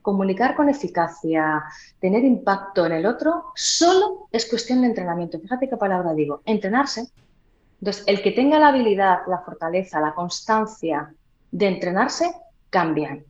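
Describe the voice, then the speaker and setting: A woman's voice: medium pitch, lively intonation, moderate pace, a little mellow. A woman from Huelva, giving emphatic, conversational advice as an interview guest who trains voices. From Huelva, Andalusia, Spain. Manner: emphatic, didactic, checks in with listeners. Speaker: guest, voice trainer and speech expert. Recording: dull, roomy, echoey sound.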